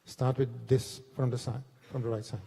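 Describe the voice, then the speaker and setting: A man moderating a conference panel, speaking haltingly with many fillers. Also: mid-range voice, level tone, vocal fry, mellow, husky. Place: large concert hall on stage. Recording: long hall reverb.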